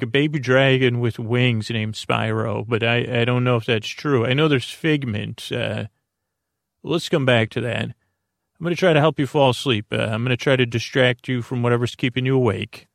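A man hosting a sleep podcast, talking in a slow, meandering, soothing monologue that often loses its thread, with a creaky voice. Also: mid-range voice, dry recording, clean studio sound. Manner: meandering, self-interrupting, gently soothing. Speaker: podcast host. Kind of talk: slow rambling bedtime monologue.

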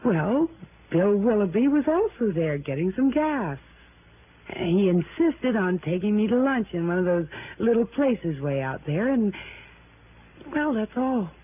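A woman's voice: medium pitch, sing-song, measured pace, breathy edge. An actress playing a talkative, evasive married woman in an American radio drama, with an American accent and a stammering, deflecting manner. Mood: defensive, agitated. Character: chatty, evasive married woman growing flustered under questioning. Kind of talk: mid-century American radio drama acting. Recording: noisy, narrow-band vintage radio recording.

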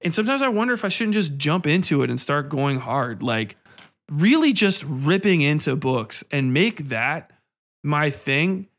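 Male podcast host, slightly high voice, casual ranting delivery, profane and exasperated. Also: big pitch swings, a little slow, a bit bright. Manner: profane, exasperated, blunt complaining.

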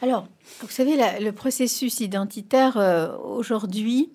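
A middle-aged woman whose first language is Spanish, answering as an expert guest in an interview. She speaks emphatically, and her pitch jumps up on key points. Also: measured pace, darkish tone.

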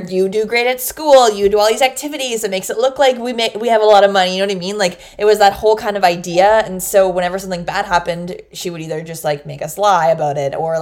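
A woman in her late twenties, a teacher, chatting casually, laughing often and swearing freely. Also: on the low side. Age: 20s. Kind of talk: casual chat.

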